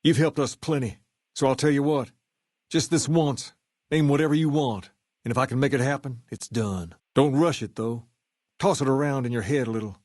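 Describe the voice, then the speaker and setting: An actor playing a suave, folksy gang leader in a video game, with a drawl and a cool swagger. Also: male voice, mid-range voice, normal speed, a little mellow, no room sound, studio quality, close to an everyday voice. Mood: magnanimous. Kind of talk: video game dialogue acting.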